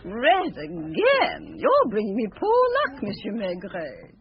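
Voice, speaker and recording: An actress playing a middle-aged, self-absorbed former dancer addicted to gambling, offhand and theatrical in a radio drama. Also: female voice, mid-range voice, wildly swooping pitch, takes it slowly, breathy edge, full character voice. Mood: blasé, distracted. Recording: muffled, lo-fi radio drama recording.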